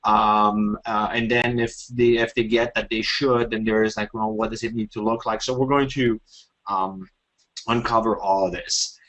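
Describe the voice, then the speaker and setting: A French-accented man in his forties leads an online presentation. He talks haltingly and fills his pauses with "uh" and "um". His voice sits a little low. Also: flat delivery, fairly bright tone, rough recording. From France. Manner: halting, many fillers and restarts. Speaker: webinar presenter.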